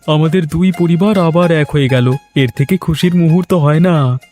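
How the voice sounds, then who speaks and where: A man playing an earnest, modest young adult son in a village moral-story cartoon, speaking in a measured way. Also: on the high side, fairly even intonation, mellow, soft background music, natural voice. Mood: pleased.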